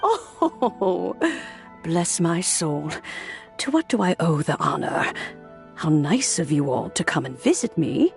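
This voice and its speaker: An actress playing a gracious, kindly elderly woman in a video game, genteel and gently amused. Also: on the low side, very animated, takes it slowly, creaky, exaggerated voice. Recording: music behind the voice.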